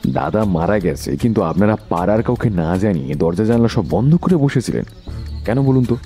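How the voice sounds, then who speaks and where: A man playing a calm, authoritative detective in an audio drama, questioning in a measured, polite but blunt way. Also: lowish voice, faint music behind. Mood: accusing, firm.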